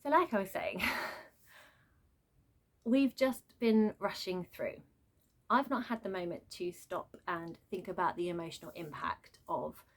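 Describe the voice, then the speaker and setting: A woman giving an unscripted, chatty book rant as a reviewer, exasperated and prone to animated asides. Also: lowish voice. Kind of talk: unscripted book rant, casual vlog chat.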